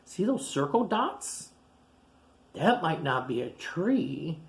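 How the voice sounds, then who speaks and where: A woman reading a picture book aloud to children, animated, gasping and exclaiming at the pictures. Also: low voice, slow pace. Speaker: storyteller.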